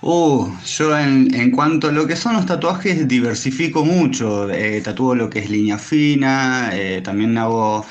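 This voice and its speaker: A man with an Argentine Spanish accent answering interview questions in a thoughtful, hesitant way with many pauses. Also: medium pitch, dull audio, faint music behind. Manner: hesitant, thoughtful, pausing often. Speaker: interview guest, tattoo artist.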